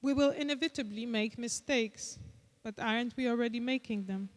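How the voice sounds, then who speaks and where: Polish accent woman reading her conference paper, careful and measured, kinda high voice, lots of hall reverb. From Poland. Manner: measured, deliberate reading with occasional restarts. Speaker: conference speaker. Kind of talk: reading a prepared lecture.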